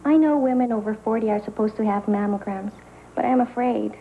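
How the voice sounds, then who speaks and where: A woman's voice: a little high, brisk, phone-quality sound, a little hiss or hum. A woman acting a worried character in a scripted public-service health ad. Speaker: actor in a health ad.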